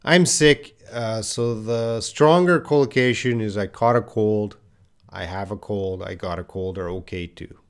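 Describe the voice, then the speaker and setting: A man's voice: fairly low pitch, a little slow, smooth. A man teaching English in a live online lesson, patiently reading out viewers' answers and correcting them with repeated example phrases.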